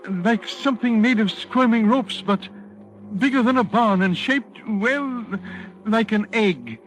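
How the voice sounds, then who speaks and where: An actor playing a scholarly doctor reporting as a shaken eyewitness, in formal 1940s radio drama style. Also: male voice, sits high, expressive, measured pace, a bit bright. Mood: shaken, awed. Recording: noisy vintage 1940s radio recording.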